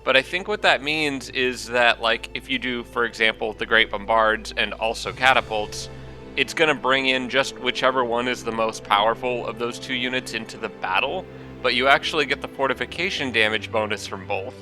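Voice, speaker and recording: A man's voice: medium pitch, moderate pace, pushed and bright, faint music behind. A man hosting his own gaming channel, talking casually through a strategy game while stuffed up from allergies, a little nasal, thinking aloud with frequent ums. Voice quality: slightly nasal from congestion.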